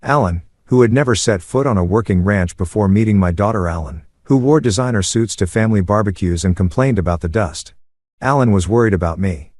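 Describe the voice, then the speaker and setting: A man narrating a first-person story in a steady, measured way. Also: lowish voice, dry recording, clean studio sound.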